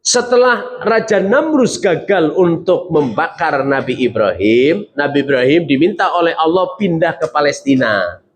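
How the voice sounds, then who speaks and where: Man, middle-aged, storytelling sermon, lively, questions to audience, fairly high-pitched. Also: unhurried. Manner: animated, acts out quoted voices, asks the audience questions. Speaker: preacher.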